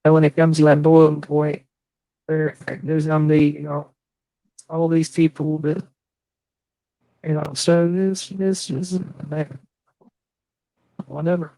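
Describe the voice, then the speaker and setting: A man chatting casually on a live stream, halting and rambling, swearing often. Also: a little high, flat delivery, vocal fry, dark tone, no room sound, rough recording.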